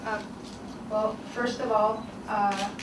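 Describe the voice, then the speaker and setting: Flat-voiced woman in a noisy, echoey hall. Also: mid-range voice, takes it slowly. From Guatemala. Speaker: lawyer, panelist. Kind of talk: personal story on a panel. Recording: echoey hall, distant mic, background noise.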